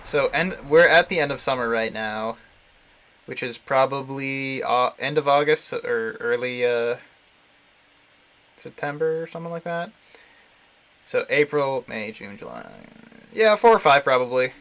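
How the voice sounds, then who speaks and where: A man's voice: mid-range voice, lively intonation, measured pace, pauses often, a bit bright. A man livestreaming casual commentary on a visual novel, thinking aloud in a rambling, jokey way. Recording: music behind the voice. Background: game music.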